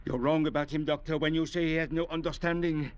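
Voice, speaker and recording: A man's voice: on the high side, fairly even intonation, fast, clean tone, close to an everyday voice. An actor playing a harsh, contemptuous man stranded on a raft, with a sneering manner, in 1940s radio acting style. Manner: sneering and hostile. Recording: dull, lo-fi old radio recording.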